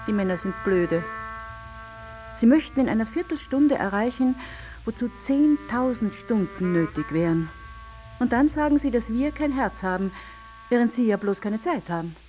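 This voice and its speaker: A woman's voice: fairly high pitch, lively intonation, moderate pace, mellow, soft background music, lightly acted. An actress playing a blunt, reproachful lady in an acted literary miniature, chiding in articulate phrases. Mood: scornful. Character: reproachful, blunt Viennese lady companion of a gentleman.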